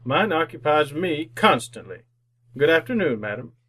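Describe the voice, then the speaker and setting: Man acting crotchety, scornful old miser, higher-pitched voice. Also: sing-song, moderate pace, a bit bright, husky, exaggerated voice. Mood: cold, sardonic. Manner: curt, scornful, barking. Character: miserly, cold-hearted, crotchety older businessman and boss. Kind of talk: old-time radio drama acting.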